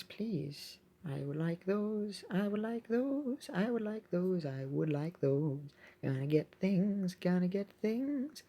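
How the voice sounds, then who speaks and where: A woman's voice: on the low side, mellow. A woman giving casual, rambling gameplay commentary as a video host, with playful wordplay.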